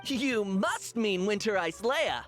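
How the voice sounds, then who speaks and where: A woman's voice: mid-range voice, sing-song, slow, soft background music. A woman voicing an easygoing, confident young student in a video game. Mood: breezy, confident.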